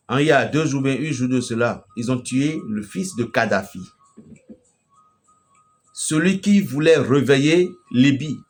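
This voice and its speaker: A man from Benin with a Beninese French accent, preaching an emphatic, storytelling message to a fellow pastor. His voice swells loudly at the climaxes. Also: medium pitch. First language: a local Beninese language.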